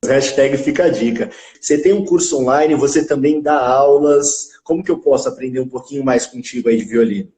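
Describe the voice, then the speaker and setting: A man's voice: mid-range voice, brisk, mellow, poor audio. A man hosting an interview, asking upbeat questions and giving brief affirmations. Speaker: interview host.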